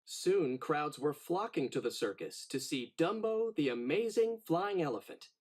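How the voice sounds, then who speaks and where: A man reads a children's story aloud with theatrical expression. His voice sits fairly high and swings widely in pitch, with a slightly husky, breathy edge. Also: measured pace. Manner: animated, dramatic storytelling. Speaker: storybook narrator.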